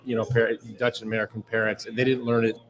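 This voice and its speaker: A man from Southern California, a club board member, talking casually in an interview with many pauses and fillers. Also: medium pitch, little pitch movement. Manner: hesitant, many fillers and restarts. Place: club bar during a social evening. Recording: loud crowd chatter behind.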